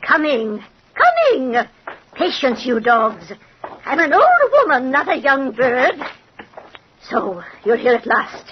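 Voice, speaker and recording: A woman's voice: high-pitched, wildly swooping pitch, slow pace, heavily acted. An actress playing a slyly gleeful, wicked old fortune-telling woman in an old-time radio horror drama, sardonic, taunting and theatrical. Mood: impatient. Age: older. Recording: muffled, noisy old radio recording.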